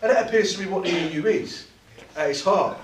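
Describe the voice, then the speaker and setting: A man's voice: high voice, brisk, a little hiss or hum. Impassioned man speaking in a roomy hall. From South East London. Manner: impassioned, restarts and repeats words. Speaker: trade union activist speaker. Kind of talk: political speech at a meeting. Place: meeting room. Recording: roomy hall, mic at a distance.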